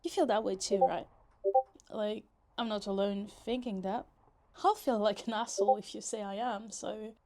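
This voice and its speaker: A woman's voice: medium pitch, fairly even intonation, normal speed, darkish tone, natural voice. A woman playing a possessive, lovesick yandere young woman in a roleplay ASMR, teasing, pouty and dramatic in casual, conversational speech. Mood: affectionate, clingy.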